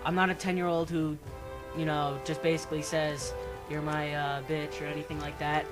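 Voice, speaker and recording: A young man with a light, slightly high voice talks games casually, hedging with lots of fillers. Music plays clearly underneath him. He rambles more than he argues. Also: flat delivery, normal speed. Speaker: gaming panelist. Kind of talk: casual gaming discussion.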